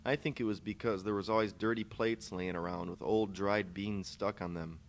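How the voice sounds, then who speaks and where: Guy with a lowish voice reading his short story, bit of noise behind him. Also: fairly even intonation, moderate pace. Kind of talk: scripted narration of a first-person short story. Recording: clear background noise, amateur podcast recording.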